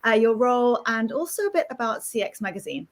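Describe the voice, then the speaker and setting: A woman's voice: fairly high pitch, expressive, fairly quick. A woman from the UK, co-hosting an interview in a friendly way, hesitating with fillers. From United Kingdom. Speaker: co-host.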